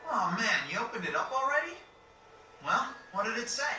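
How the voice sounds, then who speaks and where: A man playing a brash, excitable young teenage boy in a video game cutscene, speaking bluntly and casually. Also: high-pitched, moderate pace, echoey room, recorded from a distance, noisy background.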